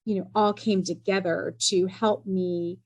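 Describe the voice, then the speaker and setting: Earnest middle-aged woman, measured pace. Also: medium pitch, steady tone. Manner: measured and earnest, with frequent "you know" and "um" fillers. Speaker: entrepreneur guest. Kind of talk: podcast interview.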